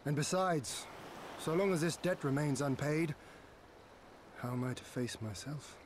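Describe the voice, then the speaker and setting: An actor playing a noble, resolute young lord in a video game, speaking in formal, archaic, measured phrases. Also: male voice, on the high side, noisy background, natural voice. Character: noble young lord leading a liberated nation, resolute and gracious.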